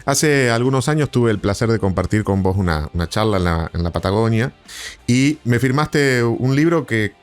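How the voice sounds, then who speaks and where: A man's voice: medium pitch, expressive, normal speed, soft background music. An Argentine man hosting a podcast interview in a casual, conversational way.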